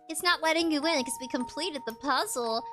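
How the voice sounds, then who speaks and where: A woman's voice: sits high, a bit bright. A woman streaming a game, chatting casually with viewers in a bubbly, giggly way. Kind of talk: casual live-stream chat while gaming.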